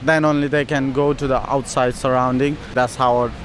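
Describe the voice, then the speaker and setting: A man from Nepal, speaking calmly and philosophically in a casual street interview outdoors. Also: mid-range voice, slight background noise. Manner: calm, philosophical, unhurried. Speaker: interviewee, chef. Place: outdoors by a beach, traffic around.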